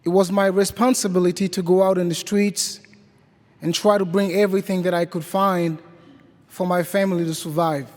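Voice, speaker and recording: Young man from Haiti, high voice, slow deliberate testimony, church reverb. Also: little pitch movement. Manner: slow, deliberate, repeating phrases. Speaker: guest speaker at Mass. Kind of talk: personal testimony to a congregation.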